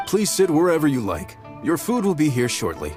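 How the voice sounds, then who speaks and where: A man's voice: fairly high pitch, huge pitch swings, brisk. A man voicing a polite, hospitable restaurant chef in a video game. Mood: courteous. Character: courteous male restaurant chef, welcoming and busy. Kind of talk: video game character acting. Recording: game audio with music underneath.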